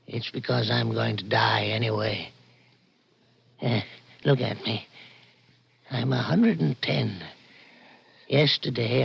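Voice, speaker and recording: A man playing a weary, gentle, very old prison guard, soft-spoken and wistful, in 1960s radio drama style. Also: mid-range voice, heavily acted. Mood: resigned. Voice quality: creaky, husky old voice. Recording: dull old radio recording.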